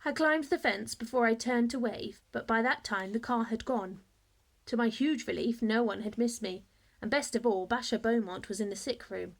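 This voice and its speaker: A woman reading a children's story aloud in expressive narration, like a teacher to a class. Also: a little high, normal speed, vocal fry.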